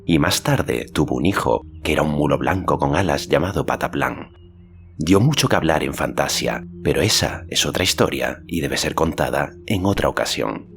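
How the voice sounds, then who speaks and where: A man's voice: very deep voice, expressive, moderate pace, vocal fry, slight background noise. A man reading a fantasy novel aloud as scripted literary narration. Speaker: audiobook narrator.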